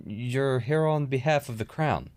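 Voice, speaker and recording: A man's voice: medium pitch, darkish tone. A man playing a genial, familiar older village lord in an audio drama, by turns teasing and regretful.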